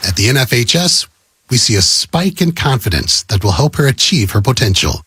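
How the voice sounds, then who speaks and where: A man reading a scripted public service spot in a polished, measured, inspirational way. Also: medium pitch, sing-song, background noise. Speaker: advert announcer. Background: prominent music.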